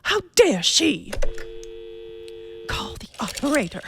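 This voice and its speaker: A woman's voice: sits high, big pitch swings, slow, gravelly, background noise, full character voice. A woman playing an imperious, self-pitying bedridden woman on the edge of hysteria in a radio thriller. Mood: haughty, outraged. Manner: imperious, hysterical, haughty, breathless pleading. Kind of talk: old radio thriller acting.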